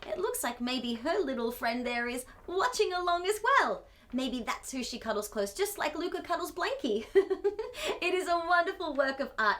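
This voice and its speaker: A woman's voice: sits high, fairly bright tone. A woman hosting a children's storytime, gushing and exclamatory as she speaks directly to young children.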